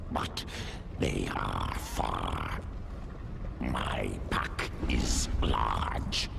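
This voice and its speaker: Massive alien beast, super deep growly croak, primitive phrasing, video game, noisy background. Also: male voice, heavily acted. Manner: slow, primitive simple phrasing. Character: huge primal alien pack beast, hungry hunter. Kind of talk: video game creature acting. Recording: noisy rumbling ambience behind.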